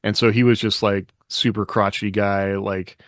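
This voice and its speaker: Guy podcast cohost rambling casually, lowish voice, really dry sound no room. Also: level tone, normal speed, no room sound. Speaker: podcast co-host. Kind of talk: casual chat.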